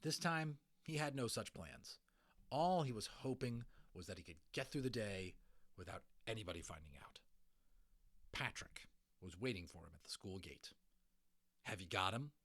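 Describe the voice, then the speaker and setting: A man narrating a children's audiobook in an expressive, dramatic storytelling manner. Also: on the low side, normal speed, no room sound. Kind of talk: dramatic children's story reading with informal asides.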